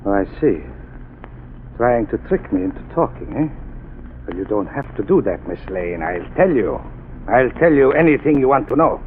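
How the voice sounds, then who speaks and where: A man's voice: mid-range voice, sing-song, moderate pace, phone-quality sound, a little hiss or hum, lightly acted. An actor playing a cold, boastful male sanitarium doctor who turns out to be a killer, in a 1930s radio drama. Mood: smug. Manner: cold, smug, menacing.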